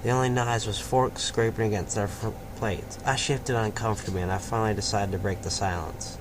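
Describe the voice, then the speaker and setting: Man reading aloud over clear background noise. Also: on the low side, steady tone, a little fast. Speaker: fan fiction reader and host. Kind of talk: amateur read-aloud of a story with casual asides. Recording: noisy amateur home recording.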